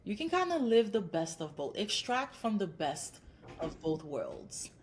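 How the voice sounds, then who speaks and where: A woman's voice: medium pitch, big pitch swings, unhurried, a crowd in the background. A woman psychologist from Haiti, in her thirties, answering interview questions in an earnest, encouraging way, often building her points into lists. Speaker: clinical psychologist guest. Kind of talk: podcast interview.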